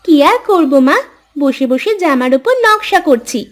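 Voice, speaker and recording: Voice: sits high, expressive, unhurried, fairly bright tone. A performer voicing a cheeky, affectionate young farmer's daughter in a moral-story cartoon, teasing and a little bossy. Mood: playful.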